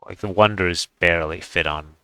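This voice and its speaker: Deep-voiced man, casual game commentary. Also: moderate pace, bright, forceful tone, smooth, no echo. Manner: offhand, rambling, thinking aloud. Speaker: gaming video creator.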